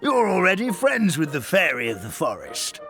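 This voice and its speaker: Cheery old grandpa paper critter in a game, swoops all over, kinda flustered, music under it. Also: male voice, high-pitched, huge pitch swings, normal speed, a bit bright, heavily acted. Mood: reverent. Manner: theatrical, hesitant. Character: old grandfatherly origami forest townsperson, fussy, devout, cheerful. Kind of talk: video game voice acting. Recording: music playing behind the voice.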